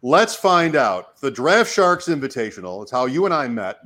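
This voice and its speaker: A man, hoarse from talking, hosting a casual fantasy sports show and commentating in short, fragmented phrases as he thinks out loud. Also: medium pitch, big pitch swings, moderate pace. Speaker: fantasy football show host giving draft advice. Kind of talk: casual talk, live draft commentary.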